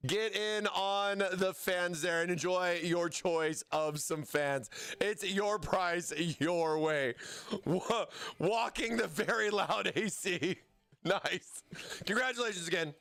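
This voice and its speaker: A man hosting a livestream giveaway, excitable and playful as he hypes up his chat, his voice strained from overuse. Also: sits high, takes it slowly, creaky, clear, resonant tone. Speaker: livestream host.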